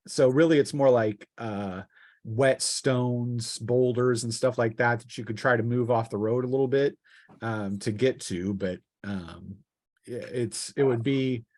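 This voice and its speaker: A man narrating a tabletop role-playing game as game master, in a halting, hesitant delivery with improvised phrasing. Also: medium pitch, dry recording, clean studio sound.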